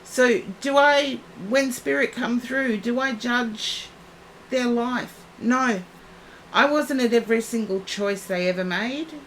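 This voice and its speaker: Brief: woman psychic live-streamer, slow and soothing, slightly high voice, going hoarse. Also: a bit bright, a little hiss or hum, rough recording. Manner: soothing, reassuring, unhurried. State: losing her voice.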